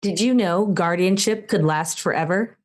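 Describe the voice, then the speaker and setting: A woman's voice: on the low side, moderate pace. A woman reading a formal, scripted voice-over for an advocacy group.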